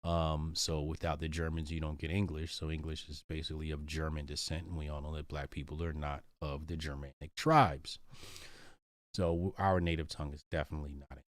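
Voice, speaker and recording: A man's voice: a bass voice, level tone, a little fast, dry recording. An American man reading a book aloud and commenting on it, stopping often for ums, uhs and restarts. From United States.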